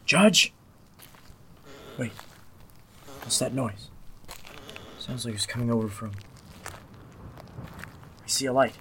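A man's voice: mid-range voice, fairly even intonation, slow, pauses often, sharp tone. Man acting tense protective searcher, noisy radio play. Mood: urgent searching. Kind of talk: old-time radio drama acting.

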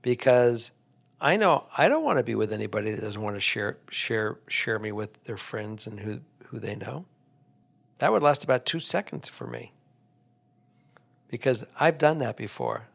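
A man's voice: fairly low pitch, lively intonation, moderate pace, creaky, fairly bright tone. A man co-hosting an advice podcast, talking casually and bluntly, often repeating words as he starts a thought.

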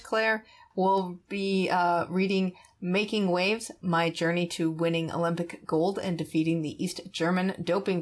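A woman hosting a podcast in a casual, conversational style, chuckling often as she talks. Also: fairly low pitch. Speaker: podcast host.

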